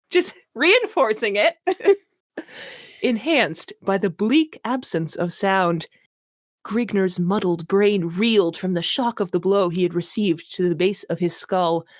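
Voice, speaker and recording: A woman's voice: medium pitch, takes it slowly, no room sound. Giggly woman reading aloud, dry sound. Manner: giggly, mock-dramatic. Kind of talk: amused comic reading with commentary. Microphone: online voice call. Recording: dry voice chat audio.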